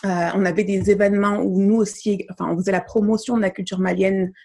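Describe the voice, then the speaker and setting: Gravelly-voiced Malian woman, thoughtful. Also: medium pitch, little pitch movement, fast, warm tone. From Mali. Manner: thoughtful, many hesitations. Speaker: podcast guest. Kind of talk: casual interview chat.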